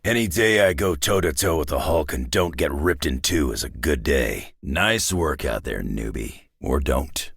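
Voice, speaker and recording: A man voicing a gruff, cynical, battle-worn mutant brawler in a video game, with a gravelly, husky growl and a terse, dry tough-guy drawl. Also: very deep voice, lively intonation, measured pace, hardly any pauses, fairly bright tone, no room sound, full character voice. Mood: wry. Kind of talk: video game character acting.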